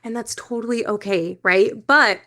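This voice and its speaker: A woman's voice: on the high side, moderate pace, sharp tone. A woman with a stuffy, slightly nasal voice from a cold, giving an encouraging motivational webinar as a coach and podcast host. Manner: encouraging, direct address to listeners. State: congested, a little nasal from a cold.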